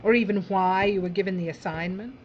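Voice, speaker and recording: A woman's voice: medium pitch, a little fast, highs cut off, a little hiss or hum, natural voice. A woman playing a breezy, callous female television boss in an audio drama, theatrical and drawling, with condescending endearments. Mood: coolly callous.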